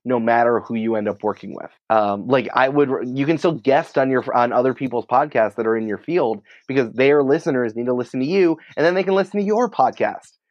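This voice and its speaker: A man's voice: medium pitch, dry recording, lo-fi sound. A man speaking as a podcast guest in a casual, emphatic, opinionated conversational way. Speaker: podcast producer and writer, interview guest.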